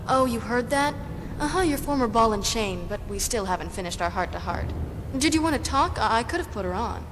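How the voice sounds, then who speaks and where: A woman's voice: fairly high pitch, animated, fairly quick, natural voice. A woman playing a cool, taunting half-vampire huntress in a video game, wry, teasing and casual. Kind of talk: video game cutscene acting. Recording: clear background noise behind game dialogue.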